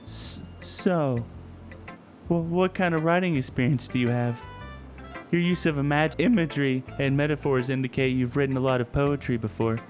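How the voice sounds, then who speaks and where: A man voicing a shy, gloomy teenage literature club girl with a deep, dark, sullen delivery and a bookish manner. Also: soft background music, natural voice. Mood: nervous. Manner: stammering when nervous, otherwise measured and bookish.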